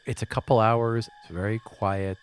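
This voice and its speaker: A man narrating as game master in a calm, descriptive way. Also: fairly low pitch, normal speed, clear, resonant tone.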